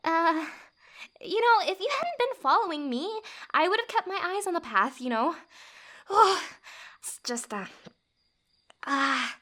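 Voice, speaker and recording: Woman acting a young woodland wanderer, high voice, unhurried pace, teasing yet guarded, halting phrases, fantasy ASMR roleplay. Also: very animated, sharp tone, exaggerated voice. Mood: pained, flustered. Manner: teasing, halting, breathy little laughs.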